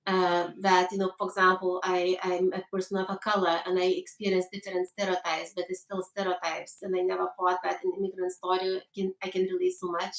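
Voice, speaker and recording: A woman's voice: lowish voice, completely flat delivery. Lithuanian-accented woman, distant and roomy. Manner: halting, with frequent restarts and fillers. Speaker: guest. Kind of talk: conversational interview.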